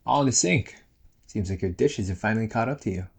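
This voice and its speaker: A man's voice: lowish voice, brisk, creaky, slightly rough. A man playing a playful, nagging young ghost brother in a casual roleplay audio drama, teasing and chatty. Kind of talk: casual one-sided roleplay audio acting.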